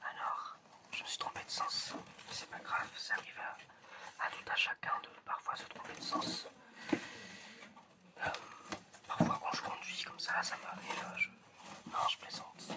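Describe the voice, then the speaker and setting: Someone whispering hesitantly and softly to the listener, in an ASMR roleplay as an examiner. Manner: hesitant, soft-spoken, addressing the listener directly. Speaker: ASMR creator playing an examiner.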